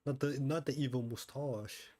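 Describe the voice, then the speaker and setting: A man's voice: mid-range voice, fairly even intonation, moderate pace, dark tone, dry recording. A man giving casual, rambling, sweary streamer reactions while playing a video game. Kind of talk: casual reaction commentary over gameplay. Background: faint game music.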